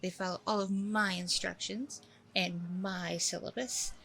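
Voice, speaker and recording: A woman's voice: on the low side, steady tone, measured pace, vocal fry, fairly bright tone. A woman playing a smug, self-promoting training center owner in a chatty ASMR roleplay, with breezy, self-satisfied customer-service politeness. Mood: smug, boastful. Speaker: roleplay audio performer.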